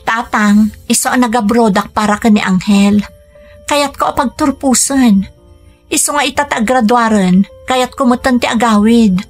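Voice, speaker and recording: An actress playing a dutiful, weary young Filipina daughter with an Ilocano accent, earnest and plaintive in a radio drama. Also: female voice, on the high side, moderate pace, soft background music. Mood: earnest, pleading. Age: young adult.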